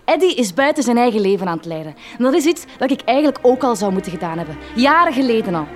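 An actress playing a bitter, long-suffering village schoolteacher wife with a Flemish accent, sarcastic and resentful in a radio drama. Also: female voice, on the high side, big pitch swings, fast, soft background music. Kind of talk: theatrical radio drama acting.

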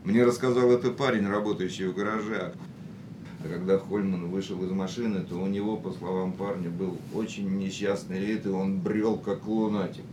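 A man's voice: fairly even intonation, normal speed, natural voice. An actor as a passionate philosophical man, roomy echo. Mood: wry, persuasive. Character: passionate, philosophical, risk-loving man of action. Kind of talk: radio drama acting. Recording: echoey radio studio, faint rumbling noise.

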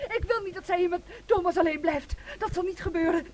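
A woman's voice: extremely high pitch, quick pace, darkish tone, a little hiss or hum. An actress playing a possessive, jealous young woman in a radio play, intense, stubborn and emotional. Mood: distraught, defiant. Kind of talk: Dutch radio play acting.

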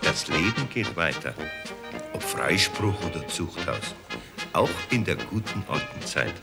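A man speaking a slow, wistful epilogue for a radio play. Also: low-pitched, sing-song, vocal fry, loud music behind the voice. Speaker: closing narrator. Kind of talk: slow spoken epilogue over brass music.

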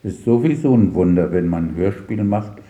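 An older man reflecting on his work as a radio drama director in an interview, pausing and searching for words as he recounts anecdotes. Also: low-pitched, animated, warm tone, roomy sound, dull audio. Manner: halting, searching for words, pausing mid-sentence.

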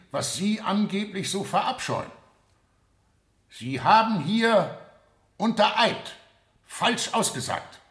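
A man's voice: a little high, a bit bright. An actor playing a stern, authoritative judge in a radio play, formal and commanding as he presides over a trial. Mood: indignant, stern. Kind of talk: 1970 German radio play acting. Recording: roomy, echoing studio courtroom.

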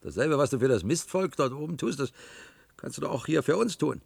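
An actor playing a coarse, needling, grudging householder in a German radio play, talking in a blustering colloquial way. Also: male voice, medium pitch, animated, a little fast, vocal fry, darkish tone, breathy edge, dry recording, exaggerated voice. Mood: mocking, wheedling.

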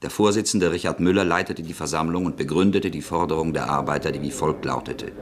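Deep-voiced male radio-play narrator. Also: fast talker.

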